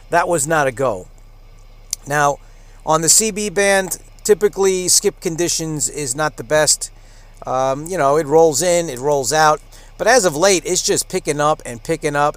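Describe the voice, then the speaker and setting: Higher-pitched man hosting a radio announcement. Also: no room sound. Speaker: radio host. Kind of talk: station announcement talk to listeners.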